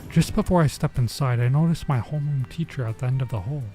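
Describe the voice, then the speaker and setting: A man's voice: mid-range voice, fast talker, dark tone. A man streaming a visual novel, reading the story aloud and adding informal, bemused asides. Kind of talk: let's play, reading game text aloud with casual reactions. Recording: music under the voice. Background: game music.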